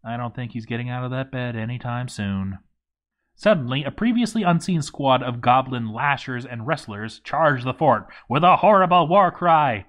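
A male narrator with a medium-pitched voice reads a game story aloud. His delivery is theatrical, leaning on emphatic pauses and dramatic swings as he tells the tale. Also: lively intonation, a bit bright, lo-fi sound.

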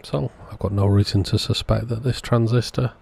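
A man hosts an informal repair narration in a fairly deep voice. His tone is dark and relaxed, with no sharp edge. He explains each step in a plain, matter-of-fact way. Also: moderate pace, vocal fry, husky. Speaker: host of a radio repair channel. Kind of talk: informal scripted-sounding voice-over narration of a repair.

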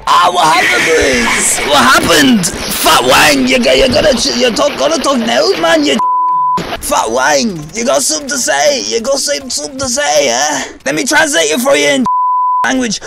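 A squeaky, rasping old smoker of a junkie mocks and swears at strangers in an online shooter. His voice sits very high and grinds with a gravelly rattle. Loud music plays under his headset voice. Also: moderate pace, full character voice. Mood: taunting. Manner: taunting, swearing trash talk at strangers. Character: raspy old smoker junkie man, loud trash-talker. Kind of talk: voice trolling in online game chat.

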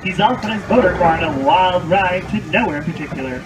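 A man's voice: high voice, animated, fast. A man guiding an outdoor boat ride, telling fairy-tale stories in a scripted storybook spiel with playful asides. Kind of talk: scripted tour narration.

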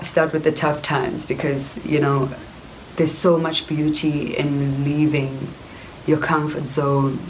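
Low-voiced South African woman, reflective. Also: a little hiss or hum, poor audio. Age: young adult. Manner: reflective, frequent fillers and "you know". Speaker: actress. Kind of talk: casual personal storytelling to camera. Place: indoor room before wooden cupboards. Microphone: camera at close range.

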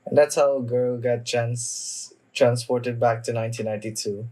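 A young adult man giving casual reaction commentary in a halting, hesitant way, often repeating himself. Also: mid-range voice, fairly even intonation, darkish tone. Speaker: anime reaction video host. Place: small room at home, indoors.

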